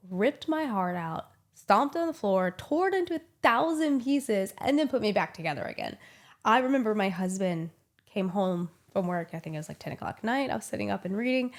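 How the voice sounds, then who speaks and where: Woman, 30s, slight cold in the voice; casual, effusive book-vlog host. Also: on the high side. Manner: chatty and gushing, many "like" fillers. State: small head cold, voice a little off. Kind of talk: casual book review chat.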